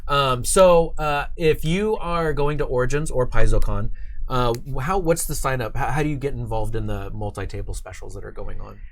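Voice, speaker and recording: Male host, casual, medium-pitched voice. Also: normal speed, vocal fry. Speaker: show host. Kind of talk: casual chat. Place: studio.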